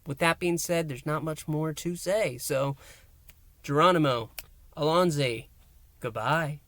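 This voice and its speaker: Man reviewing with halting, emphatic delivery. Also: a little high, a bit bright, no echo. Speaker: host of an audio drama review channel. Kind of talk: review and recap monologue to viewers.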